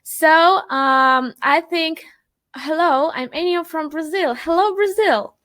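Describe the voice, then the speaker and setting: Upbeat high-voiced young woman hosting a webinar. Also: takes it slowly, fairly bright tone. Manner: upbeat and chatty, greets viewers by name. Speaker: webinar host, marketing manager. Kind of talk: live webinar presentation, reading chat greetings.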